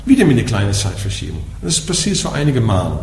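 Dutch-accented man giving a lively dharma talk. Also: fairly low pitch, quick pace, creaky. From Netherlands. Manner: explaining, asks "ja?" after nearly every point. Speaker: Buddhist teacher. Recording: echoey room with faint background noise.

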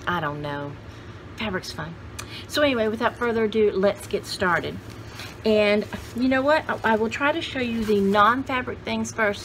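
A woman's voice: medium pitch, a bit bright, slight background noise, rough recording. A woman in her sixties chatting casually as a vlog host, with a folksy, jokey, rambling manner. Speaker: host of a vintage haul video channel. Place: indoor home studio room with shelves.

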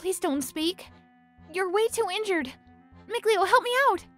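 Earnest young heroine, audio drama, played by a woman; high pitch, protective temper, light music behind. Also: lively intonation, a little slow. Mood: alarmed.

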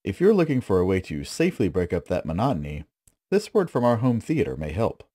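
Male radio-play announcer, deep, warm tone, animated delivery. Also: a little fast.

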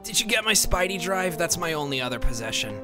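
A frazzled young superhero, improvised by a man, swinging widely in pitch as he rambles. He whines and dramatizes like a neurotic in a comic video game dub, with music behind him. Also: big pitch swings, fairly quick. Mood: anxious, resigned.

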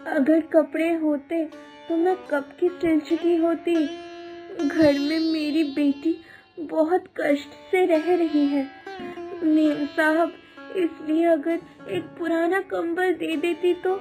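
A woman's voice: very high voice, level tone, slow pace, music in the background, full character voice. A woman voicing a poor, humble village mother and maid in a cartoon story, with a plaintive, hesitant manner. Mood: humble, pleading. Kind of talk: Hindi cartoon story dubbing.

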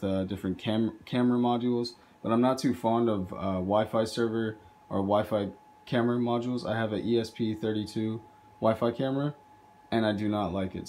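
A man with a fairly low voice talks through a homemade project. He presents it casually as a video host, recorded indoors on a handheld camera. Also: a little mellow. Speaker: video host, hobby robot builder. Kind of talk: casual narrated project demo.

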